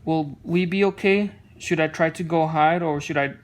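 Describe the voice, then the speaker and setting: A woman's voice: low-pitched, fairly even intonation, normal speed, natural voice. A woman voicing a small, frightened young tree in a storybook. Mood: frightened, pleading.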